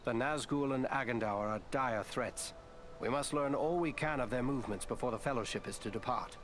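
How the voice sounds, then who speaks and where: A man's voice: medium pitch, a little fast, slight background noise, lightly acted. An actor playing a serious, noble counsellor in a fantasy game, speaking formally and earnestly as he explains. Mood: earnest, briefing. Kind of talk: dramatic fantasy game acting.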